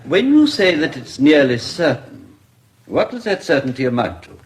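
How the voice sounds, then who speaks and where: A man playing a courteous, formal English coroner presiding over an inquest in a radio drama, with measured courtroom diction. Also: a little high, sing-song. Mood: courteous, probing.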